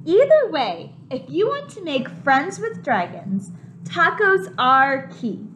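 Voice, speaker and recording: A young woman with a high, very lively voice reads a children's picture book aloud like a preschool teacher. She takes her time, explaining things simply to little listeners. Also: takes it slowly, a little hiss or hum. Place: home kitchen, indoors.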